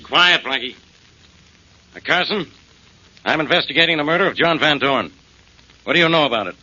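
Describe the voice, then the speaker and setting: A man playing an irritable, gruff police inspector in a 1940s radio detective drama. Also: fairly high pitch, lively intonation, moderate pace, sharp tone, full character voice. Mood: irritated. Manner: brusque, blustering. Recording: muffled, hissy 1940s radio broadcast.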